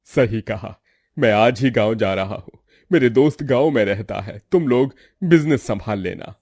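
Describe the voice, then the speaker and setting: A man's voice: on the low side, a little mellow, breathy edge, no room sound, clean studio sound. A man voicing an older, heavy-hearted father in a cartoon drama, speaking in a sombre way. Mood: wistful. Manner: slow, sombre. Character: older father, heavy-hearted businessman missing his old friend.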